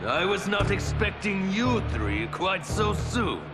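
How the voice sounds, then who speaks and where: A man's voice: high-pitched, big pitch swings, slow pace, roomy sound, exaggerated voice. An actor playing a theatrical, sadistic warlord in a video game, taunting like a grandiose showman. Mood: smug taunting. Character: theatrical sadistic male warlord villain hosting combat. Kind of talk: video game villain acting. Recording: loud music behind the voice.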